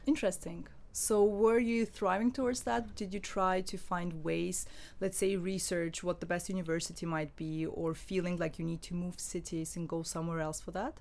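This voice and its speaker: A woman's voice: on the low side, steady tone, moderate pace, gravelly, few pauses, darkish tone. A woman hosting a podcast, chatting casually and asking friendly follow-up questions. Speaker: podcast host. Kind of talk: casual interview chat.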